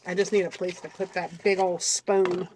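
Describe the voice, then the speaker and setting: Middle-aged woman host with a cold, congested and raspy, chatting and apologizing through a relaxed crafting tutorial. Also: fairly low pitch, moderate pace, a little hiss or hum. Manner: chatty, apologetic, talks to viewers while working. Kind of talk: casual craft tutorial chat.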